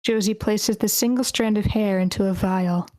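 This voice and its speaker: A woman's voice: mid-range voice, steady tone, normal speed, a little mellow, no echo. A woman reading stage directions aloud as the narrator at a screenplay table read, in short clipped phrases.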